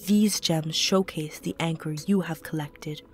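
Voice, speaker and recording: A woman's voice: deep voice, steady tone, unhurried. A woman voicing a mysterious female spirit guide in a fan audio drama, speaking in solemn rhymes. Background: soft music.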